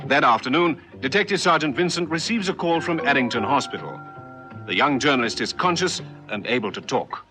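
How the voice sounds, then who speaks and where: Man as police radio drama narrator, mid pitch, plucked guitar music behind him. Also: animated, brisk, close to an everyday voice. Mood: dramatic, factual. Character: brisk male narrator of a police radio serial.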